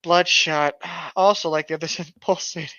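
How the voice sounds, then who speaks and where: A man's voice: fairly high pitch, fairly even intonation, moderate pace, bright, forceful tone, clear, resonant tone, dry recording, lo-fi sound. A man co-hosting a comedy show, casually reading aloud with mocking, sweary commentary.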